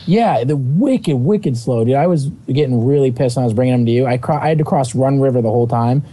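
Dark mellow-voiced young man. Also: medium pitch, brisk. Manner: offhand, advice-giving banter. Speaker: friend on voice call. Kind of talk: casual gaming chat.

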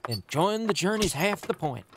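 A man voicing a carefree, goofy cartoon creature in a comic dub, chummy and excitable. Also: sits high, moderate pace, noisy background. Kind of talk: comic book dub acting.

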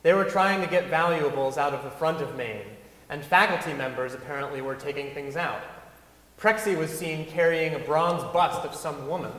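A man's voice: a little high, moderate pace, fairly bright tone. A young man reading a written recollection aloud on stage in storytelling fashion. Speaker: reader on stage. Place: large wooden concert hall stage. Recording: echoey hall, faint room noise.

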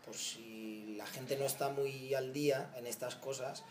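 A man in his thirties with a Spanish accent, recounting in a documentary interview how he started helping migrants, thoughtful and halting with restarts. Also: medium pitch, fairly even intonation, echoey room. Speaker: volunteer founder. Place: outdoor patio of a house.

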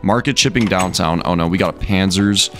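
A young American man with a lowish, slightly hoarse voice gives rambling gameplay commentary. He talks casually and in slang, addressing his viewers like buddies. Some game music plays behind him. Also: fairly quick. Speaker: gaming video host. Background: video game music.

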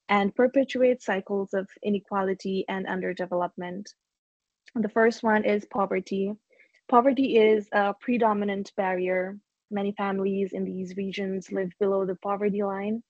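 A young woman from Nepal speaks on a video call from a room at home, reading a prepared talk at a steady, measured pace. Her pitch stays fairly flat. The laptop microphone gives a dull, dry sound. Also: no echo. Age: young adult. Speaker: youth speaker. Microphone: laptop webcam mic on video call.

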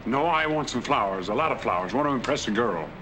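An actor playing a wealthy, lonely middle-aged man in a 1940s film, polite, gentle and well-spoken. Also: medium pitch, expressive, fast, lightly acted, poor audio. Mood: earnest. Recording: hissy 1940s film soundtrack.

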